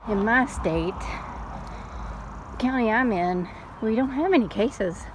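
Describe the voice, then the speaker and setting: A middle-aged woman chatting casually in an unhurried, folksy way, like a mom telling stories while out on a walk. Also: a little high, low-quality recording. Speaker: storytelling vlogger and mother. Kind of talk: casual rambling chat. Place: outdoors, walking. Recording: steady background noise, outdoors on a walk.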